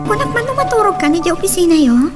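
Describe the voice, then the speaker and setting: A woman's voice: extremely high pitch, sing-song, fast, distant mic, music in the background. A woman playing a sweet, troubled young woman in love, tender and affectionate, in an Ilocano radio drama. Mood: caring.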